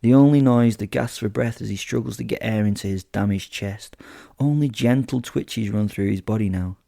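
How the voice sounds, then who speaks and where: A man's voice: lowish voice, normal speed, creaky, dark tone, no echo, studio quality. A man voicing a sadistic, gleeful werewolf killer who tells horror audio drama in the first person, with flippant, theatrical asides. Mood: gloating, matter-of-fact. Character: sadistic, gleeful male werewolf serial killer narrating his kills.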